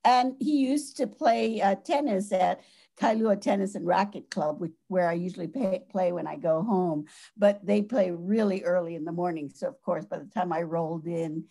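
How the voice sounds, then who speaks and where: A woman from Hawaii, a guest in a casual interview, warm and effusive as she talks. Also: fairly low pitch, moderate pace, creaky.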